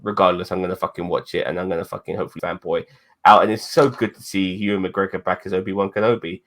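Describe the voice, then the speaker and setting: A man's voice: low-pitched, fairly even intonation, fast talker, fairly bright tone, slight background noise. A man in his forties, co-hosting a casual chat, rambling and swearing often as he talks. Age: 40s. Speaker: podcast co-host.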